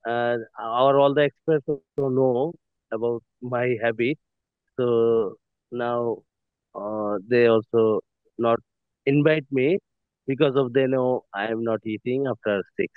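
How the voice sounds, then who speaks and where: A man's voice: mid-range voice, steady tone, no echo. A man in his thirties from Bangladesh, calling in from a car to introduce himself politely, with many hesitations. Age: 30s. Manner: halting, many fillers, polite "sir". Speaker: student. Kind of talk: self-introduction in class. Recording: tinny phone line.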